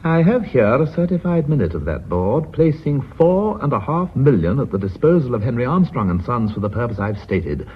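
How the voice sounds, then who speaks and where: An actor playing an authoritative, coolly confident British company chairman in a radio drama, speaking in a measured, formal, drily ironic way. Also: medium pitch, sing-song, a little hiss or hum, lightly acted. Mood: cool, assertive. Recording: muffled vintage radio drama recording.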